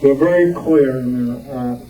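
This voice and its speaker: Distant, mellow-voiced man, halting informal presenter. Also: mid-range voice. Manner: hesitant, halting, searching for words. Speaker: club member presenting collection. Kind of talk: informal show-and-tell talk. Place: club meeting room with audience. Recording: distant muffled room mic, background noise.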